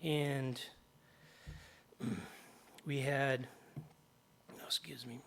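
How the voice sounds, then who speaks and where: A middle-aged man, slightly hoarse after jaw surgery, reading prepared remarks at a podium in a large meeting hall and stopping often to clear his throat. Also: medium pitch, steady tone, very slow, fairly bright tone, a little hiss or hum. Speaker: union chapter president. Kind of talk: public comment at a board meeting. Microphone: podium microphone.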